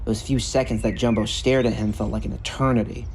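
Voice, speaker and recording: A man reading a scripted story narration in a measured, earnest way. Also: medium pitch, steady tone, a little mellow, slight background noise. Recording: music bed under the narration. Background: background music.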